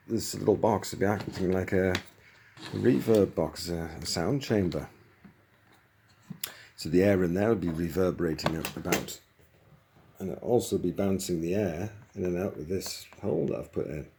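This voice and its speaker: A man's voice: low voice, mellow, a little hiss or hum. A man giving a casual, hands-on explanation as a hobbyist, informal and thinking aloud as he goes. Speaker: hobbyist presenter demonstrating a modified radio.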